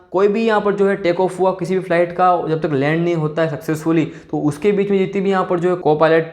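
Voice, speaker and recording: An Indian man presents an informal tech explainer in a fairly high male voice. He speaks at a brisk pace, dropping in English technical terms and recurring verbal tags. The sound is clearly roomy and echoey. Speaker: tech explainer video host.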